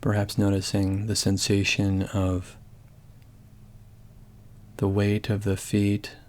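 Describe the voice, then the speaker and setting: A man leading a guided body scan meditation in a calm, gentle, measured way, leaving long pauses. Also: on the low side.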